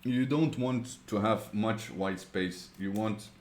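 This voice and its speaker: A man with a Dutch accent, a Dutch native speaker, narrating a screen-recorded web design tutorial, thinking aloud with many pauses and fillers. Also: on the low side, a little hiss or hum.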